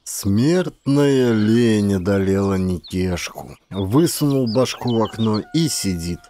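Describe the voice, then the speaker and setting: A man narrating a literary story as a dramatized audiobook, with expressive, theatrical delivery. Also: fairly low pitch, slow, a little hiss or hum.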